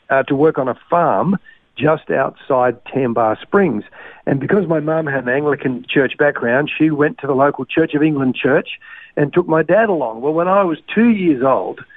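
An Australian man recalls his upbringing in a relaxed interview, circling back and restarting his sentences. His voice sits a little high and sounds thin and narrow, like a phone line. The sound is close and dry. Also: no echo. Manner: rambling with restarts. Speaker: guest. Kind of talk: casual interview.

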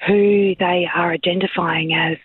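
A woman caller with a soft, whispery voice, speaking slowly and earnestly. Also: lowish voice, fairly even intonation, breathy edge, no echo. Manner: slow, earnest. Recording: phone line.

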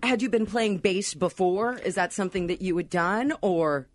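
A woman's voice: medium pitch, normal speed, no room sound. A woman hosting a radio show, chatting casually with a band in the studio, laughing easily. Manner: chatty, laughs often, mimics others' voices.

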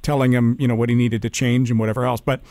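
A man hosting a relaxed conversational interview in a dry, close recording. His voice sits at a middle pitch, and he talks in halting, self-repeating runs, often checking in as he goes. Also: no echo. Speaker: show host and former worship leader. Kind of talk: casual conversational interview chat.

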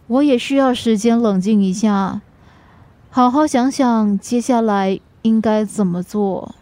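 A troubled wife in a radio drama, played by a woman, with a high voice and a Singaporean accent. She is anxious and easily wounded, and speaks with an emotional, pleading edge. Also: measured pace, warm tone, no room sound, lightly acted. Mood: troubled, subdued.

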